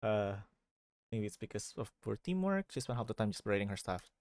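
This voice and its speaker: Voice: medium pitch, very animated, brisk, a little mellow, dry recording. A young adult streamer giving casual, joking and reactive commentary over a video game, recorded on a close mic. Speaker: live streamer.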